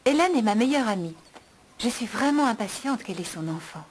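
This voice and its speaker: Woman as a lively, high-voiced young farm woman. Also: a little fast, a little hiss or hum, lightly acted. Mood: friendly. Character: friendly, trusting young farm woman, dreamy believer. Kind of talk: French cartoon dub acting.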